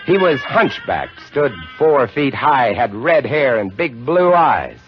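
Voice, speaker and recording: Dramatic lighthouse-keeper narrator, old broadcast sound, score underneath. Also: male voice, medium pitch, very animated, slow pace. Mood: tense. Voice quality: dramatic, vivid storytelling. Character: lighthouse keeper recounting a horror, male, adult. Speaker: narrator and lead actor. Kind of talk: 1950 radio drama narration. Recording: dull old radio broadcast with music.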